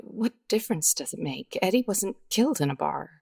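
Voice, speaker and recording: Weary radio-drama widow: low, gravelly fry, guarded and hesitant, melodramatic. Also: female voice, animated, fairly quick, darkish tone, exaggerated voice. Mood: weary, reluctant. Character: guarded, weary 1950s widow under suspicion. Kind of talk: 1950s radio drama acting.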